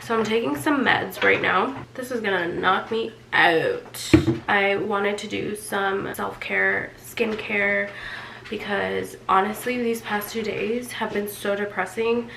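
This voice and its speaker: A young woman vlogging at home while sick talks to camera in a raspy, hoarse voice with a heavy gravelly fry. She chats casually and often trails off into a near-whisper. A faint hiss sits under her voice. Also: mid-range voice, steady tone, slow pace. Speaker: lifestyle vlogger. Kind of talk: casual vlog chat. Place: home, indoors.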